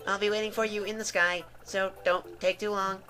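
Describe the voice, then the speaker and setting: A man voicing a rude robot helper in a video game, raspy and snippy. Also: sits high, flat delivery, normal speed, pushed and bright, slight background noise, pitched above the performer's own voice. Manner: snippy, dismissive.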